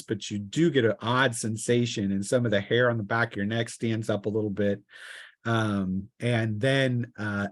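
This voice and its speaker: A man running a tabletop game as game master, improvising narration in a halting, piece-by-piece way. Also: medium pitch, dry recording, clean studio sound. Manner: halting, piecing descriptions together aloud.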